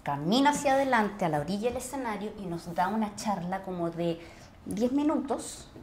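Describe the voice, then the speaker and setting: A middle-aged woman with a Chilean accent tells personal stories conversationally, expressively and with searching pauses. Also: medium pitch, lively intonation, darkish tone, a little hiss or hum. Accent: Chilean Spanish. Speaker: actress, interview guest.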